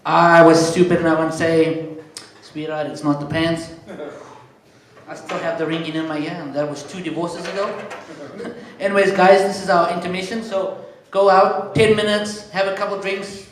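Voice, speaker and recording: A South African man hosting a comedy night from the stage, his voice sitting a little high for a man. He slips in deadpan one-liners between introductions. The sound rings out across a very echoey room. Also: normal speed. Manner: deadpan one-liners, chatty asides to the crowd. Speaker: comedy show MC. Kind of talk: stand-up compering.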